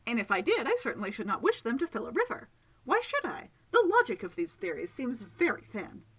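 A woman voicing a prim, even-tempered gentleman village magician who speaks with precise, polite formality. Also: on the high side, brisk, breathy edge, exaggerated voice.

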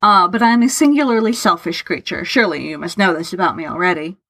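A woman's voice: on the low side, fast, fairly bright tone. A woman voicing a guarded, bitter man who speaks in a curt, matter-of-fact, formal and sardonic way, as in an audio story. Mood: bitter sarcasm. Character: Tharke, guarded, bitter, self-deprecating man hiding love.

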